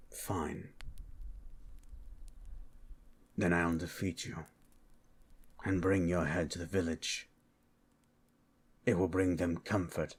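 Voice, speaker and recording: Casting: male actor for a menacing hired monster slayer, fantasy audio roleplay. Husky, extremely deep, terse and cold, with flat delivery. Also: heavily acted. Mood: grim resolve. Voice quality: husky, very low. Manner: slow, deliberate, terse, coldly interrogating. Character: grim, menacing male monster hunter for hire, calmly threatening. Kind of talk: improvised ASMR fantasy roleplay.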